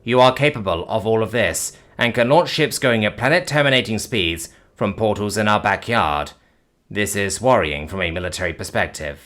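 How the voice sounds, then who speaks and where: Male narrator voicing an alien soldier, low-set, deadpan, formal. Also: normal speed, close to an everyday voice. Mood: calm, detached. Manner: flat, formal, deadpan delivery. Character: calm armored male alien, blunt, unemotional.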